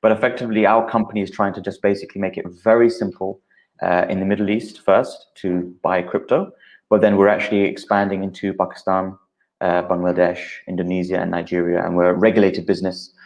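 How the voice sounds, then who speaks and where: A man in his thirties with a low, deep voice. As a guest he explains things steadily, with frequent uh fillers, and his pitch stays even and flat the whole time. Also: fairly quick. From United Kingdom. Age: 30s. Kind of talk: explanatory interview talk.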